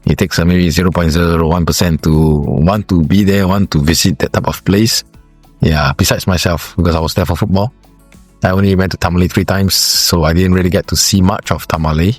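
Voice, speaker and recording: A man from Singapore, a former footballer, giving interview answers in a halting way with many fillers and restarts. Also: deep voice, steady tone, dry recording, soft background music.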